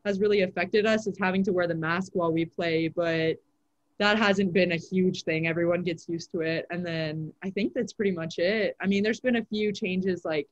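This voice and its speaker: A teenage girl, a high school volleyball player, answering interview questions in a casual way with lots of "like" fillers. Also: steady tone, moderate pace, muffled recording. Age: teens. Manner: chatty, many "like" fillers.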